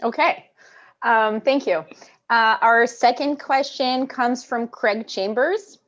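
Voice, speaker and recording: High-voiced woman chairing, muffled audio. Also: slow pace, fairly bright tone. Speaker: session chair. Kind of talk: moderating questions.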